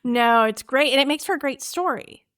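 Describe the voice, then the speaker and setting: A woman's voice: high voice, moderate pace, fairly bright tone. A woman hosting a podcast, with an enthusiastic, effusive and friendly way of talking. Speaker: podcast host. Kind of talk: scripted introduction and friendly interview.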